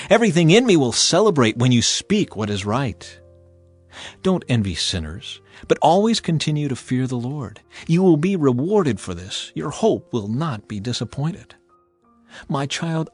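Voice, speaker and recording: A man narrating a dramatized audio Bible in an expressive, measured, solemn and exhortative way. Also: medium pitch, no room sound. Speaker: scripture narrator. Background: background music.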